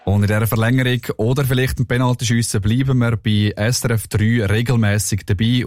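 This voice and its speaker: Male radio sports presenter, Swiss German accent, lowish voice, dry close studio sound. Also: a little mellow, no room sound.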